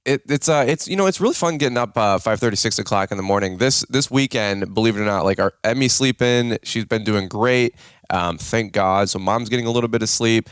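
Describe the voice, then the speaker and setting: A man hosts a podcast in a slightly low, raspy morning voice. He talks in an upbeat, rambling way, full of fillers and restarts. The sound is dry and close. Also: a little fast, no echo. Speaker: podcast host. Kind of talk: casual podcast chat.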